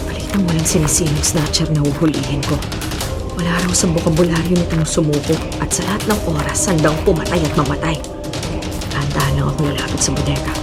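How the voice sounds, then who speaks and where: A woman's voice: deep voice, fairly even intonation, fast, loud music behind the voice. A woman playing a determined, dutiful police officer in a radio drama, firm and resolute in her delivery. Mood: tense, wary.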